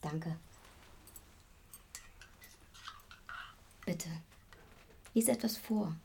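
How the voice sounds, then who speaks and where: A woman playing a curious, gently persistent young neighbour in a naturalistic radio drama, coaxing in short phrases. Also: lowish voice, slow pace, gravelly, a little hiss or hum.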